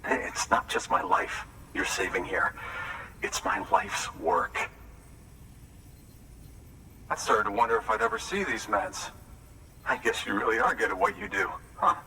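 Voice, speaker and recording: An anxious researcher devoted to his work in a video game cutscene. His voice is fairly low and very animated, confiding and expressive. The sound is slightly distant. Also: measured pace, long pauses, noisy background. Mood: weary, grateful.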